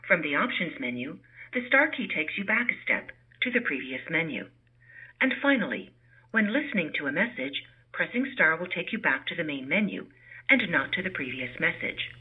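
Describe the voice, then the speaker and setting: A woman reading scripted voicemail help prompts as an automated system announcer, in a measured, helpful, soothing way. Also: on the low side, sing-song, husky. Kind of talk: scripted instructional phone prompts. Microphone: telephone voicemail playback over VoIP line. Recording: tinny, narrow-band phone line.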